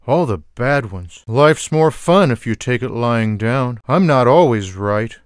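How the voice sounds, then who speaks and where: A man voicing a lazy, sarcastic, food-loving cartoon cat in a video game, with wry, deadpan, drawling one-liners. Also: medium pitch, unhurried, clean tone, no echo, clean studio sound, natural voice. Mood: dry, sardonic.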